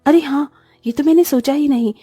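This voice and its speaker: Woman as young cartoon sister, high-pitched, mellow, eager, childlike, dry sound, music behind. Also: brisk, dry recording, close to an everyday voice. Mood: amazed, eager. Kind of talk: Hindi cartoon story dialogue.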